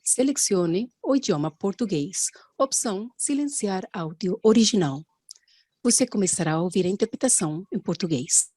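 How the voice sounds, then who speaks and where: A woman narrates a scripted tutorial in a dark, mellow voice with a husky edge. She reads out instructions step by step. The recording is very dry. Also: mid-range voice, lively intonation, normal speed, no echo.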